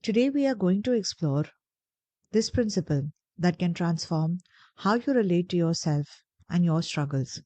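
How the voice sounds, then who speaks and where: A woman guiding a meditation in a gentle, deliberate, soothing way, pausing between phrases. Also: on the low side, no echo. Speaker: meditation guide. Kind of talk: guided meditation narration.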